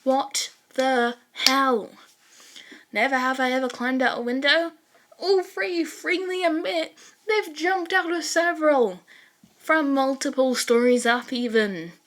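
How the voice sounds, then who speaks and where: A woman narrating fan fiction as an expressive storytelling reader. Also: high-pitched.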